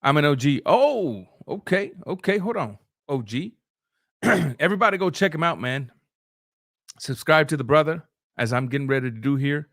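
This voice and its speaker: A man's voice: fairly high pitch, lively intonation, normal speed, fairly bright tone, no room sound, clean studio sound. A middle-aged man whose first language is Spanish, hosting a live boxing stream in loose, conversational talk with restarts and filler sounds. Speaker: boxing channel host. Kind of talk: live-stream commentary, reading super chats.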